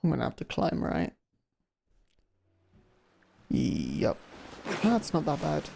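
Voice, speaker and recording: A man's voice: on the high side, wildly swooping pitch, slow pace, creaky, background noise. A man streaming a game with casual, offhand commentary, half talking to himself. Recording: game music behind the voice.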